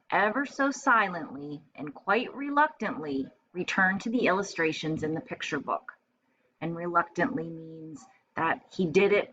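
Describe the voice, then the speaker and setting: A woman with a slightly low voice reads a storybook aloud to young children as their teacher. She is expressive and keeps her wording simple for first graders. The recording is a bit dull, with faint noise behind her. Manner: expressive, pausing to explain words simply.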